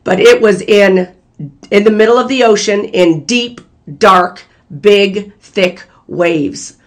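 A channel host with a hoarse, failing voice, earnestly sharing a prophetic word in casual spoken style and talking straight to the viewers. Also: medium pitch, takes it slowly. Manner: earnest, talks straight to viewers as "you guys". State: hoarse, losing voice.

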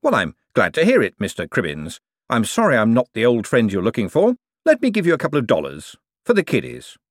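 A man voicing a quick-witted, polite swindler turned official, speaking briskly and persuasively. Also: medium pitch, wildly swooping pitch, dry recording, clean studio sound, voice pushed up. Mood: polite, wary.

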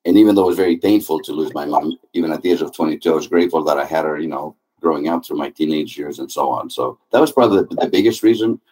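A man's voice: rumbling low, quick pace, few pauses, mellow, poor audio. A middle-aged man from Greece, a guest on an interview podcast, answering in a friendly, talkative way with frequent fillers. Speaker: guest, happiness author and speaker.

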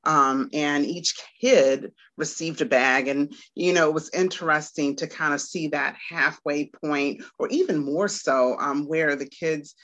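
A woman from Washington, D.C., a Montessori teacher, answering interview questions in an unhurried way with frequent pauses. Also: low voice, fairly bright tone, muffled recording. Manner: unhurried, with many "um" pauses and small laughs.

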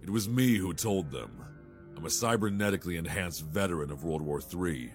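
Guy playing a really deep cyborg soldier who defected, remorseful, sci-fi audio drama, faint music behind. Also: lively intonation, moderate pace. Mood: grave confession. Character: cybernetically enhanced male war veteran, remorseful defector.

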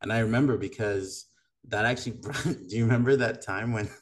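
A man's voice: lowish voice, a little fast, slight background noise. A man from Madagascar hosting a podcast, chatting casually and laughing easily. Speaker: podcast host. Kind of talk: casual chat.

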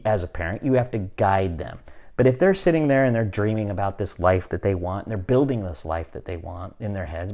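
A man in his fifties hosting a podcast, talking in a relaxed, motivational way and often checking in with "right?". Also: fairly low pitch. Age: 50s. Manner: conversational, repeats "right?" often, restarts phrases. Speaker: podcast host. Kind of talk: casual motivational chat.

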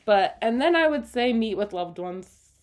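A young woman co-hosts a relaxed chat with her partner. She sounds bubbly and expressive, laughing readily and gasping when surprised. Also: on the high side. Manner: bubbly, laughs often, quick gasps of surprise. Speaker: podcast co-host. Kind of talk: casual couple's chat.

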